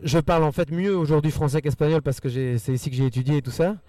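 A man with a Belgian French accent whose first language is Spanish. He answers earnestly in rushes, doubling his words, with a fairly high, mellow voice. He sits a little way back from the microphone in a dry, close sound. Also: steady tone, no echo, far from the mic. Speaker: musician guest. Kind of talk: casual interview answers.

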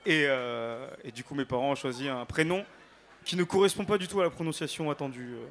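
A man's voice: medium pitch, a little fast, a bit bright. A male singer chatting casually as an interview guest on stage, informal and playful.

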